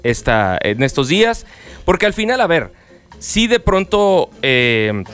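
A man with a Mexican accent hosts a sports talk show in a lively, joking manner. His voice sits a little high. Faint music plays under the talk. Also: a little slow. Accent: Mexican Spanish. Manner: lively, jokey, animated storytelling. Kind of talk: casual sports chat.

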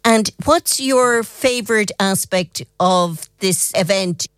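A woman's voice: medium pitch, takes it slowly, clean tone, dry recording, studio quality. A woman working as a radio news reporter, presenting a report and asking interview questions.

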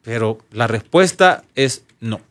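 A man's voice: medium pitch, expressive, slow pace, fairly bright tone. Honduran man on talk radio, explanatory delivery. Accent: Honduran Spanish. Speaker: radio co-host and legal adviser.

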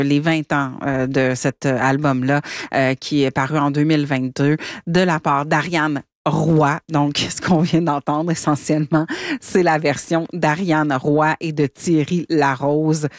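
A woman hosting live radio in a conversational, halting way, often rephrasing as she goes. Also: low-pitched, dry recording, studio quality. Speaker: radio host. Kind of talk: live radio presenting and interviewing.

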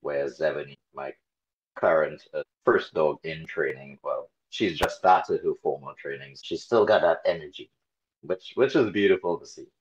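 A man in his forties from Trinidad and Tobago, talking casually as an interview guest, relaxed and a bit hesitant with many fillers. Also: a bass voice, moderate pace, husky, highs cut off. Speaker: interview guest, dog carer volunteer.

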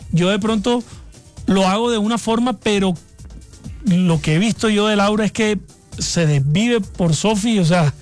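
Guest: costeño man in his thirties, high-pitched, halting speech, faint music underneath. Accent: Barranquilla costeño. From Colombia, raised in Barranquilla. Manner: hesitant, repeats words while searching. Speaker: guest singer. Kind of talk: radio interview.

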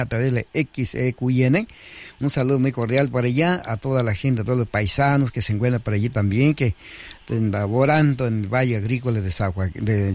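A man from Oaxaca, Mexico hosts a community radio show. He has a middle-pitched voice and greets his listeners formally, running through long lists of villages. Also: a little mellow. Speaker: radio host.

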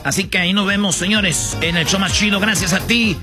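A man's voice: high voice, a little fast, over music, lightly acted. A man playing a chatty, folksy rancher with a Michoacán Mexican accent on a comedy radio show, rambling and stammering as he goes. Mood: upbeat, chatty. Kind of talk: comic prank call and radio banter.

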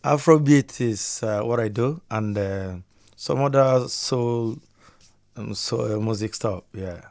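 A man from Nigeria guesting on a radio show, upbeat and chatty as he talks about his music. His pitch sits in the middle for a man, and his phrases often drop away quietly at the end. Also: slow, vocal fry. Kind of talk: radio interview.

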